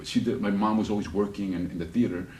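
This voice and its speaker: A man with a slight Spanish accent, an actor chatting casually as an interview guest, rambling and animated with many restarts. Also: lowish voice, fast talker, reverberant, rough recording. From United States.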